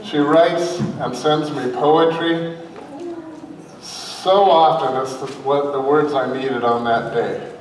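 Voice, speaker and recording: A man's voice: a little high, steady tone, takes it slowly. A man hosting, giving an informal spoken introduction of a performer. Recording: echoey room, distant mic.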